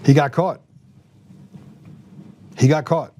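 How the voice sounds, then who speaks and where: A man's voice: on the high side, steady tone, slow, warm tone, a little hiss or hum. A man hosting a political talk show, giving opinionated commentary in an emphatic way and repeating phrases for effect.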